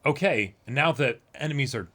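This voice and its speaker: A man's voice: medium pitch, animated, normal speed, bright, forceful tone. A man chatting casually as co-host of a reaction show, talkative, agreeable and enthusiastic in manner. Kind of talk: casual discussion of an anime episode.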